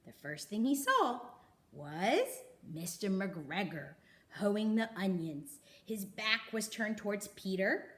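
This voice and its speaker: A woman reading a children's storybook aloud, expressively, with dramatic emphasis and pauses. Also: medium pitch, very animated, a bit bright. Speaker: storybook reader.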